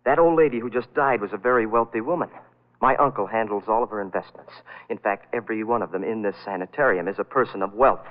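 An actor playing a highly nervous, suspicious young man with an urgent, secretive manner in a 1940s-style radio mystery. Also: fast, big-room sound, narrow, tinny audio, natural voice. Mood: uneasy, insistent. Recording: thin, band-limited old radio recording.

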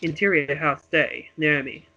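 A man's voice: fairly high pitch, unhurried, sharp tone, dull audio. A man reading a script aloud as narrator of a homemade radio play, with dramatic storytelling delivery. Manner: dramatic present-tense storytelling.

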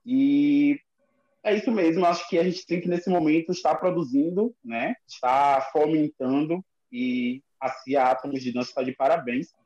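A man's voice: on the high side, moderate pace, a little mellow. A man with a Bahian Brazilian accent, casually introducing himself as a guest dancer. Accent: Bahian Brazilian Portuguese. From Lauro de Freitas, Bahia, Brazil. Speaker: guest, dancer and company director. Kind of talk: casual spoken introduction in an online conversation. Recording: dull lo-fi online audio.

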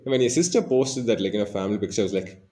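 A man's voice: fairly low pitch, lively intonation, racing, mellow. A man in his early twenties co-hosting a podcast, rambling casually with many fillers. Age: 20s. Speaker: podcast co-host. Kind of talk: casual chat.

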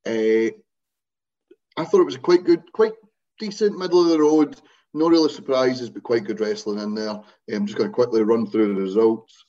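A man's voice: mid-range voice, moderate pace, warm tone. A man co-hosting a podcast in casual chat, recapping matches with a dry, wry manner. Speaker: podcast co-host. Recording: dull lo-fi podcast mic.

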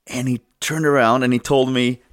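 American guy ranting into his podcast mic, loud and emphatic, middle pitch. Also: level tone, normal speed, clear, resonant tone. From United States. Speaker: podcast host. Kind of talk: opinion podcast monologue.

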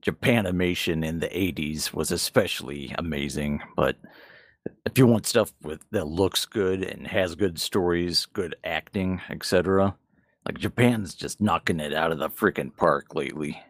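A man's voice: deep voice, normal speed, no room sound, studio quality. A man co-hosting a podcast, chatting casually and frankly about games and manga, with some swearing. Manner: profane, frank. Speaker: podcast co-host.

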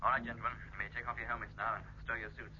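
A man's voice: medium pitch, flat delivery, rapid-fire, sharp tone, a little hiss or hum. An actor playing a commanding male spaceship captain in a 1950s British radio drama, with a clipped, formal British delivery. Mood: brisk command. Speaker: actor in a radio science fiction serial. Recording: 1950s radio recording, tinny and muffled.

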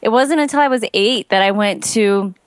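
A woman's voice: medium pitch, normal speed, clear, resonant tone, no room sound, clean studio sound. A woman from Romania who grew up in Chicago, a musician guest chatting in a friendly, easygoing way. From Romania, raised in Chicago. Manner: friendly, chatty, laughs easily. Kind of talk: casual interview.

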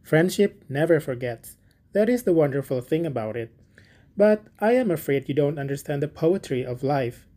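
A self-satisfied miller from a storybook, voiced by a woman reader, pompous and preachy. The voice sits low and mellow, barely shifted from her own. He lectures with smug calm. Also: normal speed. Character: smug, selfish male miller preaching friendship. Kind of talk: storybook reading.

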